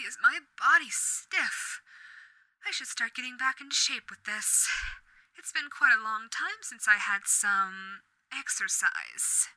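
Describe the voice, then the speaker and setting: A woman voicing a female radio demon, a suave, sadistic gentlewoman who speaks in a theatrical, old-fashioned polite way. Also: a little high, no room sound, exaggerated voice, poor audio. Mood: smug. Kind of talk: audio roleplay.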